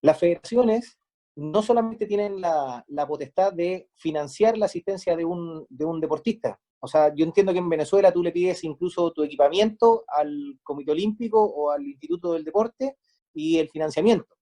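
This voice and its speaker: Male interviewee, Chilean accent, high-set but soft-toned voice, methodical explainer in the manner of a sports official. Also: moderate pace. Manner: methodical, explaining step by step with examples. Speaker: sports federation manager being interviewed.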